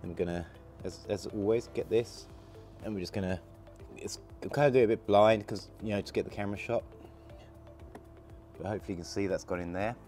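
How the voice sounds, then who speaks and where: A man with a gravelly voice from a cold, casually talking through a hands-on installation while he works. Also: fairly low pitch, fairly quick, soft background music. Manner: relaxed, chatty, talks while working with hands. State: hoarse from a cold. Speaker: product installer demonstrating. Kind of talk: casual how-to demonstration.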